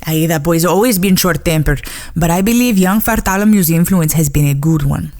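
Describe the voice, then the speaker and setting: A woman's voice: fairly low pitch, brisk, dark tone, close to an everyday voice. A woman playing a tender, motherly older housekeeper with a Spanish accent in a western audio drama. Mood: wistful, tender. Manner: tender, slips into Spanish endearments.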